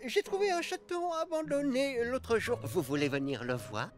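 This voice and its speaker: A woman's voice: very animated, normal speed. Sly old kidnapper man. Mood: sly wheedling. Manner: fake-kindly, wheedling, sudden swoops low. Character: sly old male kidnapper posing as ice-cream seller. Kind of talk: French cartoon dub. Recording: music behind.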